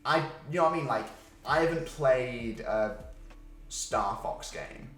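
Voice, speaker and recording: A man's voice: medium pitch, lively intonation, a little slow, fairly bright tone. A man talking casually as a podcast co-host, with a blunt, emphatic and sweary way of putting things. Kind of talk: casual chat about films and games. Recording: roomy, echoey sound.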